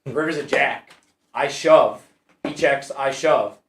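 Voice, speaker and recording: A man with a mid-range voice tells a hand-by-hand story in a quick, frustrated way. He sits well back from the mic, and the room echoes around him. A faint hiss sits underneath. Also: medium pitch, fairly bright tone. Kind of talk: casual chat, telling a poker story. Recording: distant, roomy pickup.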